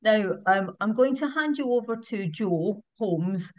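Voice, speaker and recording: A woman's voice: mid-range voice, expressive, unhurried, darkish tone, no echo. A woman with a British accent hosting a webinar over a video call, fluent but with frequent ums. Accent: British English. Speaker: webinar host.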